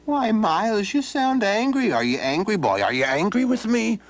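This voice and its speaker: A man voicing a crafty old gentleman who hires farmhands, speaking in a drawn-out, wheedling folksy way. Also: high-pitched, very animated, voice pushed up. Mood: teasing. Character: sly old man employer, crafty bargainer.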